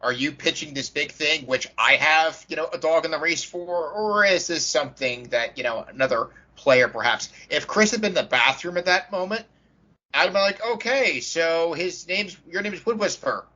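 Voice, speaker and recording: A man's voice: a little high, lively intonation, moderate pace, bright, forceful tone, muffled recording. A man in casual, opinionated chat as a podcast co-host about tabletop games, direct and emphatic when giving advice.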